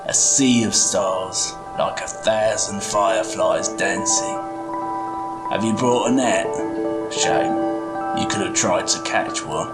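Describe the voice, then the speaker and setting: A whimsical goblin tinkerer, played by a man, leads a fantasy bedtime tale like a folksy tour guide. The delivery is slow and playful, chatting straight to the listener as a travelling companion. Music plays clearly behind the voice. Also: fairly low pitch, low-quality recording. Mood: hushed wonder. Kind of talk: bedtime fantasy storytelling, in character.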